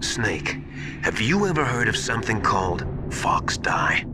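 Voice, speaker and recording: Animated, anxious scientist sidekick. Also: male voice, on the low side, measured pace, very noisy. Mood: hushed, uneasy. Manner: hesitant, confiding. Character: earnest, anxious male scientist ally confiding secrets. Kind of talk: dramatic video game acting. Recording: loud music behind.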